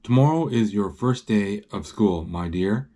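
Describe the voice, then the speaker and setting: A man's voice: on the low side, expressive, unhurried, mellow. A man voicing a fond, comforting mother rabbit in a storybook, coaxing and affectionate.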